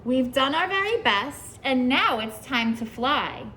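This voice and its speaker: A higher-pitched woman reading a storybook to a class of young children in a classroom, with a faint hiss under her voice. She stops often to ask questions and answer the kids. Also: very animated, measured pace, bright, forceful tone. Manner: asks the children questions and praises their answers. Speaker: preschool teacher. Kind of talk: reading a picture book aloud to a class.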